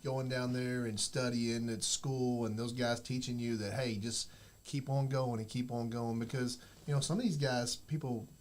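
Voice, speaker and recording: A man hosting a casual podcast, asking questions in a halting way full of fillers and restarts, and encouraging his guest. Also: medium pitch, fairly even intonation. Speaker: podcast host.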